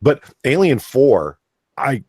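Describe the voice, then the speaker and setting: A man's voice: mid-range voice, sing-song, slow pace, a little mellow, husky, no room sound, clean studio sound. A man co-hosting a live podcast, talking casually and rambling, laughing often. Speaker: podcast co-host. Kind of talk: casual chat.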